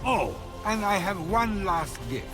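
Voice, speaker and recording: A man's voice: high-pitched, lively intonation, slow pace, over music. An actor playing a theatrical, grandiose and volatile father of the gods in a video game. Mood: proud.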